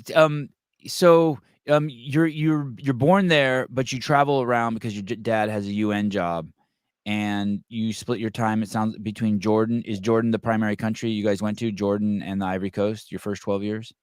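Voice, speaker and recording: A man of Armenian first language hosting a podcast, asking rambling, halting questions in a frank, casual way. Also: fairly low pitch, steady tone, smooth, no room sound, studio quality. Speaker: podcast host. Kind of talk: casual interview talk.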